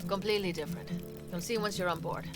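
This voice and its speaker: An actress playing a tough, wry nomad leader in a video game, blunt and teasing. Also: female voice, on the low side, fairly even intonation, fast talker, natural voice. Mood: wry, intimate. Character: tough, wry nomad woman leading a convoy raid. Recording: loud game music over voice. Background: loud music, vehicle noise.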